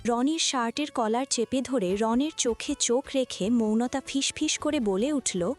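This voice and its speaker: A woman's voice: on the high side, a little slow, darkish tone, faint music behind. A woman reading scripted narration for a romantic family audio story.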